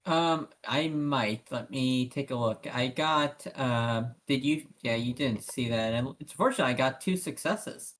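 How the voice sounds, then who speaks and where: A tabletop game player chatting casually and haltingly, describing and voicing a character's actions while thinking aloud. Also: medium pitch, a bit bright. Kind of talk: casual in-character roleplay chat.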